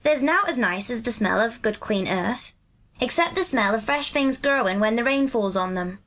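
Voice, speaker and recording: A woman's voice: on the high side, animated, normal speed, fairly bright tone, pitched above the performer's own voice. A woman voicing a cheerful, earthy twelve-year-old country boy in a storybook, with a hearty, good-natured Yorkshire dialect. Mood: earnest, delighted.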